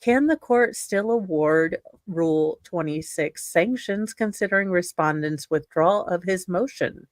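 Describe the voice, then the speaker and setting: A woman's voice: fairly low pitch, unhurried, few pauses, dry recording. A woman reading a legal document aloud as a performance, dropping in casual asides. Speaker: co-host reading a court ruling aloud.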